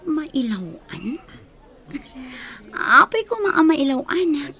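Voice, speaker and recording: A woman's voice: sits high, big pitch swings, slow, bright, forceful tone, full character voice. A Filipino woman playing a trusting, stubbornly loyal young woman in love in a radio drama.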